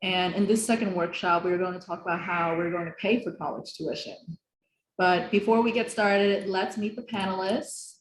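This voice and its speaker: A woman's voice: mid-range voice, fairly even intonation, normal speed, reverberant, rough recording. A young woman from the Philadelphia area hosting an online workshop on a video call, talking casually with many fillers. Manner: casual, friendly, with frequent fillers. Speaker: host.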